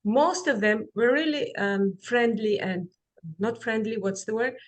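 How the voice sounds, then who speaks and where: Woman, halting speech. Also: a little high. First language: Kazakh. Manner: halting, with frequent fillers and restarts. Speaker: webinar presenter. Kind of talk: online presentation and Q&A. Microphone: video call.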